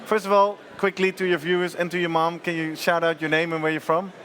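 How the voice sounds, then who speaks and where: A man hosting a live studio show at a conference. His voice sits on the high side. He chats loosely, jokes and laughs between questions. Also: little pitch movement, fairly quick, a bit bright. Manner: chatty, laughs often, talks in restarts. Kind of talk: loose, joking live interview.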